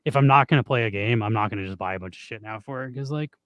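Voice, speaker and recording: A hoarse man co-hosting a stream, chatting casually and rambling with lots of "like". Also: on the low side, very fast, fairly bright tone, dry recording, lo-fi sound. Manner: rambling, heavy use of "like". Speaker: streamer co-host.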